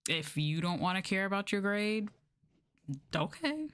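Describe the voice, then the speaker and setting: A woman's voice: mid-range voice, big pitch swings, unhurried, a bit bright, smooth. A woman chatting casually on a livestream, telling stories in informal, slangy language with an exasperated manner. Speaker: livestreamer and former English teacher.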